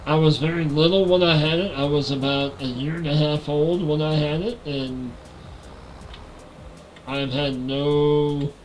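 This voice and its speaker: A man's voice: fairly high pitch, a little slow, slight background noise, low-quality recording. A man in his forties with a nasal voice, chatting casually with viewers on a live stream while playing a game on a headset mic. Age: 40s. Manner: relaxed, talks to chat while playing.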